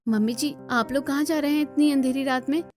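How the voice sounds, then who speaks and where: A woman's voice: high-pitched, fairly even intonation, brisk, darkish tone, faint music behind, lightly acted. A woman voicing a polite, questioning young city-bred bride in a cartoon, respectful and earnest.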